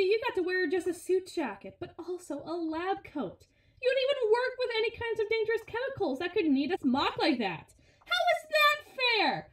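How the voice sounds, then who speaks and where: Woman playing a high-voiced, sardonic villain's henchwoman. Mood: mock-indignant. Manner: sarcastic, conversational, dramatic sighs and grumbles. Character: brawny sardonic female villain henchwoman, grumbling and teasing. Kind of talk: ASMR audio roleplay, intimate one-sided dialogue. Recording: roomy, echoing voice recording.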